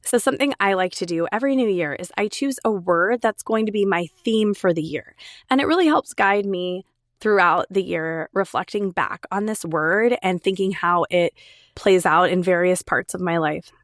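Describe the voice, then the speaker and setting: An enthusiastic woman hosts her own podcast, talking chattily straight to her listeners. Her voice is a little raspy from a lingering cold. The sound is close and dry, with no room around it. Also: lowish voice, lively intonation, moderate pace, dry recording. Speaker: podcast host. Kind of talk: solo podcast monologue.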